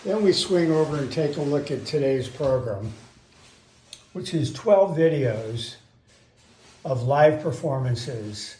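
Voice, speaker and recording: A grandfather in his seventies, casually showing his home music memorabilia in a darkened room, fond and unhurried. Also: mid-range voice, darkish tone, echoey room, slight background noise. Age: 70s. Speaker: grandfather hosting a family music event. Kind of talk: casual home video tour.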